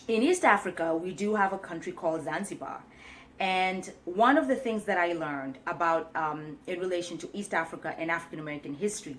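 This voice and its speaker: A young woman from Kenya talking earnestly to her viewers in a casual, reflective vlog, with many pauses and restarts. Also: fairly low pitch, fairly bright tone. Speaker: vlogger. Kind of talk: casual vlog talk to camera.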